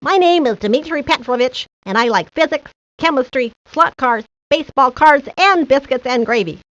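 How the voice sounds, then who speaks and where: A woman's voice: high voice, a bit bright, dry recording. A woman voicing a nerdy, pedantic young boy who speaks in precise, wordy scripted lines for a video game. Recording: dull, lo-fi 1990s game audio.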